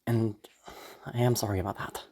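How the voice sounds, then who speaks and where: Stuffed up creator with a mid-pitched voice, rambling to viewers, nervous and giggly, says sorry and swears a bit. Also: steady tone, moderate pace, darkish tone, breathy edge. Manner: anxious, apologetic, swearing, giggly. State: congested from being sick. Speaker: audio roleplay creator. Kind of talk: casual rambling talk to viewers.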